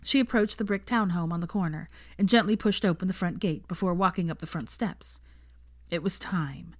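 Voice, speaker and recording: Woman narrating a story, low and dry. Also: normal speed, no echo. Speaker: fan fiction reader.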